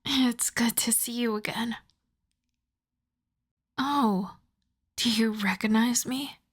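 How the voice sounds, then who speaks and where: A woman playing a polite, coyly obsessive vampire lab technician in an ASMR roleplay, speaking softly and courteously, often breathily, straight to the listener. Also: fairly high pitch. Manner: soft-spoken, courteous, measured, often breathy.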